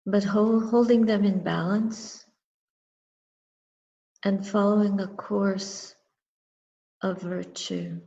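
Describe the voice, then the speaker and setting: A woman leads a meditative dharma reflection at an extremely slow pace. Her voice is dark and soft-edged, and she speaks in short, measured phrases separated by long pauses. The recording carries a long, hall-like reverb. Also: medium pitch, steady tone, vocal fry, muffled recording. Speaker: Buddhist nun and meditation teacher. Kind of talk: guided meditation and dharma talk.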